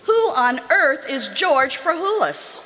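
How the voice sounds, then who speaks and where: A woman playing a fond, sensible, gently scolding long-married wife in old-time radio comedy. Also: sits high, very animated, slow pace, exaggerated voice. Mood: curious. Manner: affectionate, chiding. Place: live stage show in a community hall. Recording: reverberant hall stage performance.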